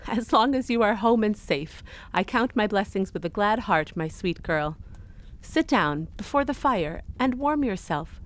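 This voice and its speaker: Fond, animated fussing mother played by a woman. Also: mid-range voice, measured pace, natural voice. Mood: relieved, fond. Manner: affectionate, fussing. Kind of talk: audio drama acting.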